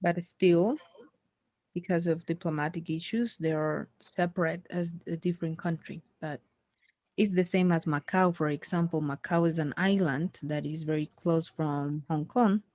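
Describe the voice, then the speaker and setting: Chatty woman, low voice, dry sound. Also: normal speed, darkish tone, dry recording. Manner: chatty, loose, full of fillers and restarts. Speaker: conversation tutor. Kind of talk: casual conversation practice.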